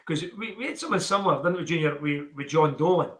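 A man's voice: a little high, fast talker, fairly bright tone. A man hosting an interview, asking questions in a conversational, rambling way. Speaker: podcast host. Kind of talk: casual interview chat.

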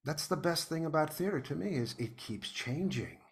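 A man's voice: on the high side, expressive, moderate pace. A man talking conversationally and emphatically as a guest in a podcast interview.

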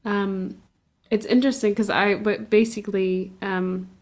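Young woman from portugal, rambly casual chat, kinda monotone. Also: mid-range voice, a little slow. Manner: chatty, rambling, many fillers and restarts. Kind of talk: casual conversation.

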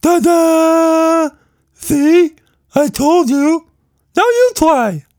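A squeaky, gleeful pink monster. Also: male voice, animated, very slow, darkish tone, no room sound, studio quality, heavily acted. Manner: bubbly, exclaiming. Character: cheerful playful stretchy pink creature.

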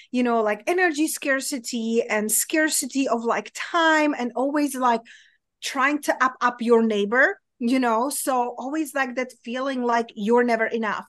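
A woman's voice: high-pitched, fairly bright tone, dry recording. A woman with a Czech accent, a guest coach talking at length in a casual interview, full of "like" and "you know". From Czech Republic. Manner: talkative, many "like" and "you know" fillers.

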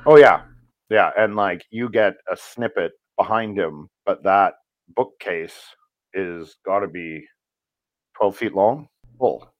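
A man who works as a voice actor, talking casually in an interview and telling stories in a relaxed, rambling way with fillers. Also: low voice, slow pace, no room sound, muffled recording. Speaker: voice actor guest.

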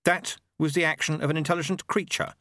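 Man voicing an earnest, hesitant, high-pitched male character. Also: fairly even intonation, fast, bright, forceful tone, no room sound, clean studio sound. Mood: shocked. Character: Lobsang, earnest, naive, unsure male. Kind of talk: audiobook character acting.

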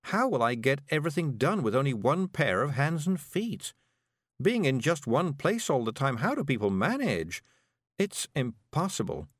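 A man voicing a frail, gentle woman witch who murmurs weakly and dreamily, in an audiobook. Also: mid-range voice, very animated, unhurried, dry recording, studio quality, voice pushed up. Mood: dreamy, bewildered. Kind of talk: audiobook character voice.

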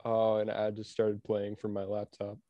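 A young man co-hosting a casual sports podcast, easygoing and halting, with plenty of fillers and restarts. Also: lowish voice, monotone, dark tone, no room sound. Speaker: podcast co-host.

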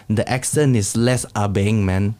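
A man in his thirties from Singapore with a softened Singaporean English accent, hosting a casual podcast chat and laughing often. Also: lowish voice, fairly even intonation, a little slow. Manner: laid-back, laughs often, slangy. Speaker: podcast host.

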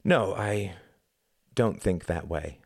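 Man playing a quiet, earnest younger son. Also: low-pitched, dry recording, studio quality, close to an everyday voice. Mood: subdued, earnest. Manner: murmuring, halting, subdued. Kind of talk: dramatised audiobook reading.

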